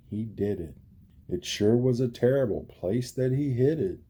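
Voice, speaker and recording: A man's voice: lowish voice, dark tone, breathy edge. A man narrating a rhyming children's storybook expressively. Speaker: storybook reader.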